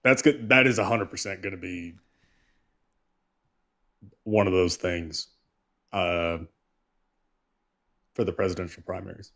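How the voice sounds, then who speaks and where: A man's voice: low-pitched, fairly even intonation, unhurried, pauses often, a bit bright. A man co-hosting a political live stream, chiming in with brief, matter-of-fact remarks. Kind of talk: casual live-stream talk.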